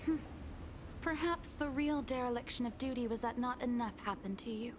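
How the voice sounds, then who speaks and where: A woman's voice: fairly high pitch, normal speed, lightly acted. A woman voicing a treacherous, coldly scheming maid in a video game, outwardly deferential, with composed, formal speech. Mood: cold, scornful. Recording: noisy game capture with ambience.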